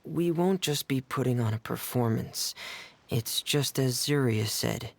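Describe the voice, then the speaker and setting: A man playing a serious, careful doctor-like character in a video game, speaking in a measured, solemn way. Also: mid-range voice, creaky, a little hiss or hum.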